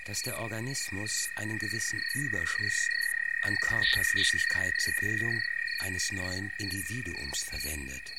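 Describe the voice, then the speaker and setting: A man's voice: lowish voice, unhurried. A man reading scripted scientific narration in a factual, lecturing manner, like a documentary text. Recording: voice over loud electronic music. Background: electronic music with insect-like chirping.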